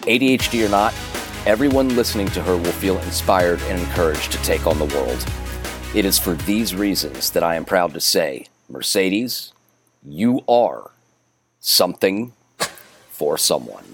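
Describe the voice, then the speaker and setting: Male podcast host, very low voice, sincere scripted read, music playing under him. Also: expressive, measured pace.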